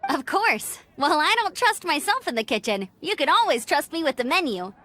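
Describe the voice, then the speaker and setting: A woman's voice: high voice, very animated, normal speed, sharp tone, no room sound, full character voice. A woman voicing a cheerful, friendly young female scout. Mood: upbeat. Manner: upbeat, friendly. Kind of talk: game cutscene dub. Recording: game cutscene with music behind.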